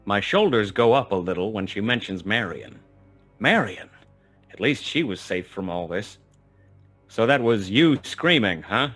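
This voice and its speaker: A low-voiced hard-boiled detective. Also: male voice, very animated, measured pace, a bit bright, soft background music, full character voice. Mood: guarded. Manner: wry, laconic. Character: hard-boiled male private detective narrating his own story. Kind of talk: noir scripted narration, radio play.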